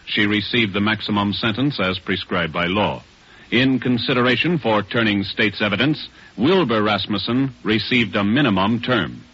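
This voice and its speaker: A man as a 1940s radio announcer, reading a script in a formal, measured manner. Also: lowish voice, slight background noise. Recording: dull old radio recording with music.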